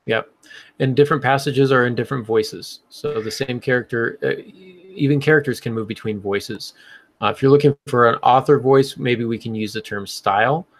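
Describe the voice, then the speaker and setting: A man's voice: mid-range voice, moderate pace, no room sound. A man hosting a casual panel discussion, explaining things in an instructive way. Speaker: podcast host.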